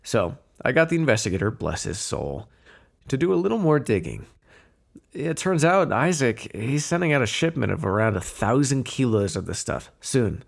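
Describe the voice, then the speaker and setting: A man playing a flirtatious, menacing mob boss in an ASMR roleplay, smooth-talking in a teasing, insinuating way with drawn-out phrasing. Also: medium pitch, sing-song. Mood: sly, businesslike. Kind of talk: ASMR roleplay voice acting, one-sided dialogue.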